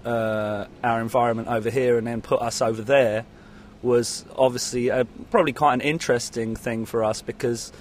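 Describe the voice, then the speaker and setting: A man's voice: mid-range voice, steady tone, normal speed, slight background noise. A man giving a casual interview as a musician, rambling and hesitant, often saying "you know". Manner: rambling, hesitant, peppered with 'you know'.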